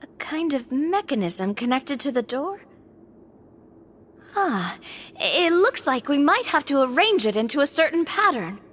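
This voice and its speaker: High, lively young adventurer. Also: female voice, measured pace, slight background noise, full character voice. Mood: curious. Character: young female adventurer, curious, bold and upbeat. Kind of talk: video game voice acting.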